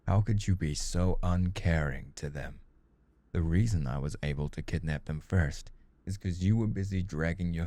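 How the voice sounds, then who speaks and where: Man acting a possessive yandere brother, extremely deep, creaky voice, pompous, melodramatic, condescending, comedic audio roleplay. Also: dark tone, dry recording. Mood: scornful, devoted.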